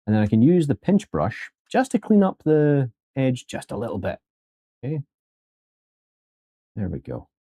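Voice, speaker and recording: A man's voice: mid-range voice, very animated, a little slow, pauses often, warm tone, husky, no room sound, clean studio sound. A man teaching 3D sculpting on a live stream in a casual, joking way, talking to his viewers as folks.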